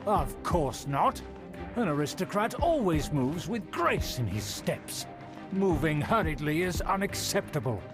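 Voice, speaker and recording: A man's voice: on the high side, sing-song, unhurried, music in the background. A pompous young nobleman. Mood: haughty. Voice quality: pompous, formal and condescending. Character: haughty young male nobleman, proud of his clan. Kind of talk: video game voice acting.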